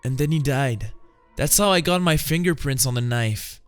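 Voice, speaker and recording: A man's voice: mid-range voice, lively intonation, moderate pace, faint music behind, close to an everyday voice. A man voicing a shaken young man accused of murder in a comic-book drama, explaining himself earnestly.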